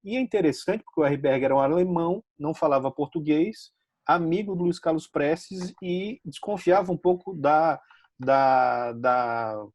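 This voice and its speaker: A Brazilian man giving recommendations on a talk show, halting and repeating words as he speaks. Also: a little high, a little mellow, no echo. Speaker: regular guest giving tips. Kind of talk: casual discussion show.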